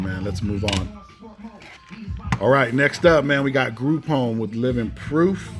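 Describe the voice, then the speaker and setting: A man casually presenting a collection in an informal way, often ending phrases with "man". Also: lowish voice. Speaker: music collector presenting cassettes. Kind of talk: casual collection showcase commentary. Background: music playing behind the voice.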